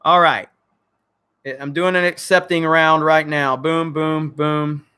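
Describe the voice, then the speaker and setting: A man's voice: on the high side, steady tone, slow, bright, forceful tone, clean tone. A man with a crackly voice hosting a casual livestream, reading viewer comments and answering in a blunt, joking way with some swearing. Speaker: channel host.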